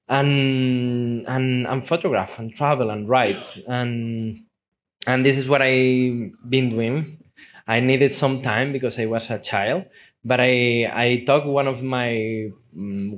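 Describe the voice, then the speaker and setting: A man from Spain and Uruguay with a Spanish accent, a native Spanish speaker, giving an informal slideshow talk as a documentary photographer, often repeating words and restarting his sentences. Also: mid-range voice. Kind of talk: informal slideshow presentation to an audience.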